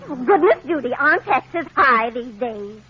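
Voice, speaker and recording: A woman's voice: high-pitched, expressive, normal speed, bright, forceful tone, husky, exaggerated voice. A woman playing a prim, patient aunt in a 1940s radio comedy, speaking properly with an American accent. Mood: chatty. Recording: muffled old-time radio recording.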